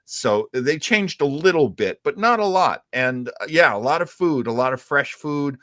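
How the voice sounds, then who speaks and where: A man hosting a talk show, explaining things in a halting, thoughtful, conversational way. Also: a little high, animated, a bit bright, no echo, clean studio sound. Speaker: host of a retro radio show.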